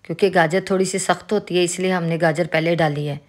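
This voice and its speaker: Calm woman with Pakistani Urdu accent. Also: fairly low pitch, brisk. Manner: calm, instructive, with religious greetings. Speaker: cooking channel host. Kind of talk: step-by-step recipe narration. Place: home kitchen.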